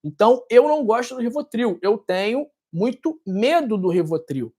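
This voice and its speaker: A man's voice: extremely high pitch, very animated, moderate pace, mellow, husky. A man with a Carioca accent from Rio de Janeiro, a doctor talking to camera in a direct, scolding way, ending many sentences with a tag question. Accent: Carioca Brazilian Portuguese. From Rio de Janeiro, Brazil. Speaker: doctor and health channel host. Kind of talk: casual talk to camera, answering viewer comments.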